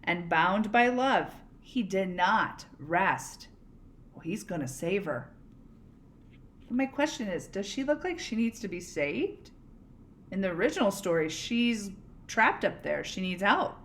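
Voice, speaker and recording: Lady doing a read aloud, slow and really animated, stops to explain words and ask stuff. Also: medium pitch, a little hiss or hum. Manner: expressive, pausing to explain and ask questions. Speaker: read-aloud storyteller, teacher. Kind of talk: picture-book read-aloud with word explanations.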